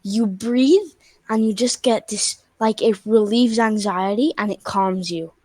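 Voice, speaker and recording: A woman's voice: medium pitch, measured pace, a little mellow, no room sound. A young girl of about twelve, from Spain, chatting casually on a video call and filling her talk with "like" and restarts. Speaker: stranger on a video chat.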